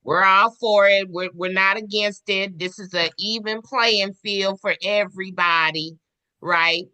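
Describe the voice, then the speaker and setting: A woman in a casual podcast discussion who speaks in a measured way, asking questions and telling stories from work. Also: medium pitch, steady tone, bright, forceful tone, smooth. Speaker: podcast panelist.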